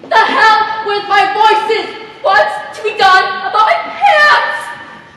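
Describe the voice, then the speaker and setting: A woman's voice: very high-pitched, animated, takes it slowly, sharp tone, heavily acted. A woman acting on stage as a stubborn, fretful eccentric in rusty armor, with a declamatory projected delivery. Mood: indignant. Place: theater stage, recorded from far off. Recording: echoey theater hall, far mic, faint noise.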